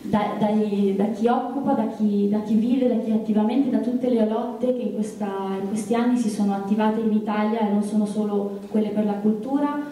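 A woman giving an unscripted political speech as an activist, haltingly repeating words as she searches for phrasing. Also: mid-range voice, steady tone, warm tone. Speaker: activist speaker at a press conference. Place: large indoor theatre. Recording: very echoey hall, distant microphone.

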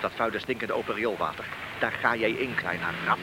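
An actor playing a hard police detective in a radio play, threatening and commanding. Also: male voice, lowish voice, fairly quick, very noisy, natural voice. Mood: menacing. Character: hard, menacing male police detective squeezing an informer.

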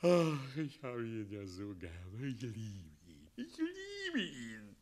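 An erratic, theatrical old-school town mayor. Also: male voice, mid-range voice, wildly swooping pitch, slow pace, full character voice. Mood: frantic devotion. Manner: theatrical, erratic, swinging from hush to outbursts. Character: evasive, half-mad town mayor, gushing loyal to a dragon. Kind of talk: German radio play acting.

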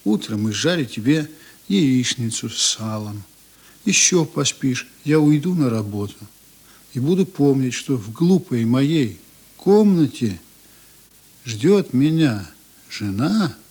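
A man acting a tender, longing man thinking aloud in a radio play, husky, intimate and unhurried. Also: medium pitch, very animated, a little mellow, heavily acted. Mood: wistful. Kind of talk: inner monologue in a radio play.

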